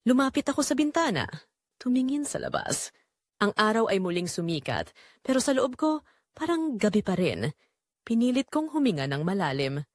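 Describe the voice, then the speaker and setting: A woman with a Filipino accent playing a betrayed middle-aged mother, telling her story solemnly and deliberately in an audio drama. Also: mid-range voice, sing-song, no echo. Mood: sorrowful, weary. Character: betrayed middle-aged mother, wounded but quietly resolute. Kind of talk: dramatic first-person audio story narration.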